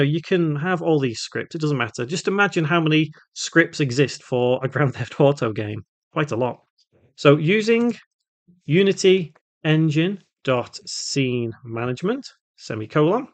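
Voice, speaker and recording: A man hosting an informal step-by-step software tutorial, thinking aloud as he works through it. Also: on the high side, no echo. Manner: thinks aloud while working, spelling out code symbol by symbol. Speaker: tutorial host, game development instructor.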